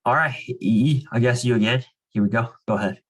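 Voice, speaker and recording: A man's voice: medium pitch, a little fast, husky. A young man casually explaining and reading aloud to children as a reading club leader, with an informal way of talking peppered with "like" and "you know".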